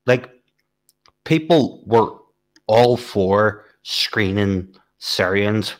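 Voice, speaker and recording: A man with a lowish voice raises his points very slowly and carefully. He often pauses on fillers as he builds up a pointed question. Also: expressive. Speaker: political podcast co-host. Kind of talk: casual political discussion.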